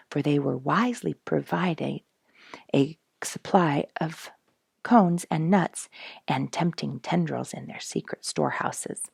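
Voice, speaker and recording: Husky, low-voiced woman reading a children's story. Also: darkish tone, no room sound, clean studio sound. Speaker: storybook reader and host. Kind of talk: scripted children's story narration.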